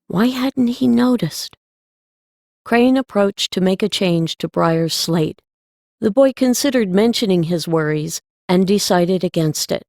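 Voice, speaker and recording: A woman's voice: lowish voice, darkish tone, no echo, clean studio sound. A woman narrating a scripted story as an audiobook reader.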